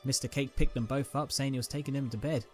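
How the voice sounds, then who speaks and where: A man narrates scripted dramatic fiction in a mid-pitched voice. Faint music plays behind the storytelling. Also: fairly even intonation, fast.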